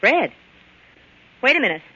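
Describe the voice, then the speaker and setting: A woman's voice: high-pitched, very animated, a little slow, sharp tone, slight background noise, full character voice. A woman playing a hard, scheming, suspicious murder plotter in a 1940s American radio thriller, speaking in a tense, clipped, menacing way. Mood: wary, probing. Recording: muffled old-time radio recording.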